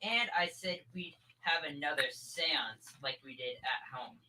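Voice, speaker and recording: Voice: mid-range voice, expressive, measured pace, noisy background, lightly acted. A young performer playing an eager, pestering boy in an old-time radio comedy, insistent and childlike. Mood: pleading. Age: teenager.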